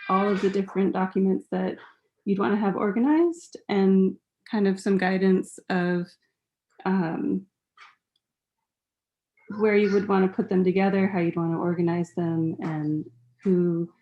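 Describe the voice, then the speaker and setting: A woman's voice: medium pitch, dark tone, slight background noise, rough recording. A woman presenting her workbook on a video call, talking in an unprepared, hesitant way with many ums and restarts. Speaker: zine exhibitor. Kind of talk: unprepared show-and-tell presentation.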